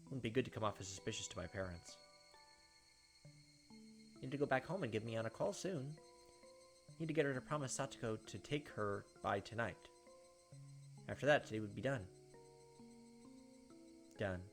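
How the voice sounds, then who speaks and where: A man's voice: mid-range voice, lively intonation, normal speed, creaky, pauses often. A man reading a visual novel aloud in a dramatic first-person way, with casual asides as a gaming host. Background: game music.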